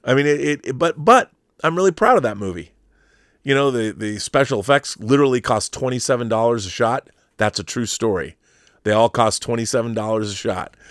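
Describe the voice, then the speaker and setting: A middle-aged American man talks as the host of a casual livestream about his opinions. His voice sits at an average pitch, and he rambles along emphatically and with enthusiasm. The sound is dry and close on the microphone. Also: fairly bright tone, no room sound. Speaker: YouTube show host and filmmaker.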